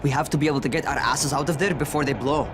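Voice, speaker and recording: A man's voice: fairly high pitch, fairly even intonation, racing, noisy background, close to an everyday voice. An actor playing a headstrong, reckless young man in a video game, speaking informally and impulsively. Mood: excited. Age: young adult.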